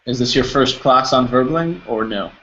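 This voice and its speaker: A man's voice: medium pitch, moderate pace, a bit bright, a little hiss or hum. A man, teaching an English class online in a friendly, encouraging way with simple words. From New Jersey, United States. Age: 20s. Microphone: video call. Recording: muffled video call audio.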